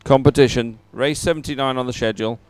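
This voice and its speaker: Clear-voiced man commentating live sport. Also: medium pitch, fairly even intonation, fast, no echo.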